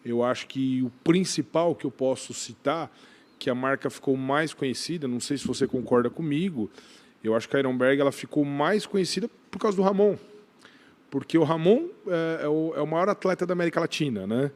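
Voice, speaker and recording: A man's voice: fairly high pitch, a little fast, a little mellow. A man with a Brazilian accent answering as a podcast guest in casual conversation, often restarting phrases, repeating words and adding short tag questions. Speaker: podcast guest, gym brand owner.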